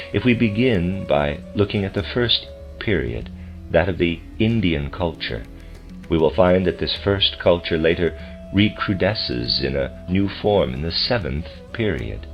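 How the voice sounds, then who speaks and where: A man reading a translated lecture aloud like an audiobook, with measured, formal and deliberate phrasing. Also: sits low. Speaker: lecture reader. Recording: dull lo-fi recording with music behind. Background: music.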